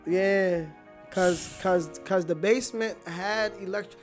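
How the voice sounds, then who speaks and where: High-voiced young guy, slangy storyteller. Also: slow pace, faint music behind. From Haiti. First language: Haitian Creole. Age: 20s. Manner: animated, slangy, profane. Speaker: podcast guest. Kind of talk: casual personal storytelling.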